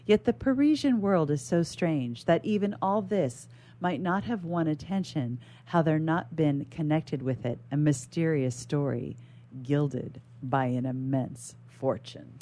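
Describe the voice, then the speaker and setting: Low, mellow woman reading a classic novel. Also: measured pace. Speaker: guest reader on a radio show.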